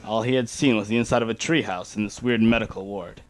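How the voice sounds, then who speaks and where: A man's voice: on the low side, steady tone, quick pace. A man reading first-person fan fiction aloud as an amateur dramatic narrator.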